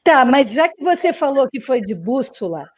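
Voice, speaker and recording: A woman from Brazil with a slightly high voice hosts a relaxed chat, in a dry, close recording. She asks short questions and keeps the guest going with encouraging reactions. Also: moderate pace, no echo. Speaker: host. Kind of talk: casual interview.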